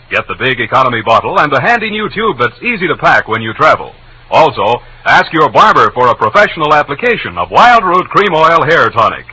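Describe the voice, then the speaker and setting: A man delivering an emphatic, polished 1940s radio advertising pitch as a commercial announcer on an old broadcast recording. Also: pushed and bright, husky, poor audio.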